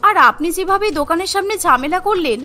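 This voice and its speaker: A woman's voice: extremely high pitch, lively intonation, quick pace, bright, forceful tone, heavily acted. A woman voicing an honest, hardworking young wife who runs a snack cart in a cartoon, speaking politely but firmly. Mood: hurt, reproachful. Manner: polite but firm, pleading.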